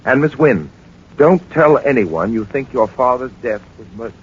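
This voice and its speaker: An actor playing a suave, composed gentleman detective, polite and measured, in a 1940s radio drama. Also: medium pitch, animated, breathy edge. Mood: calm, probing. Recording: muffled, hissy 1940s radio broadcast recording.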